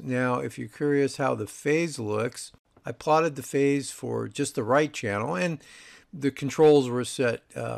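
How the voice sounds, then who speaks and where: A man's voice: mid-range voice, creaky. A man presenting an audio review, explaining technical details in a casual, informal way.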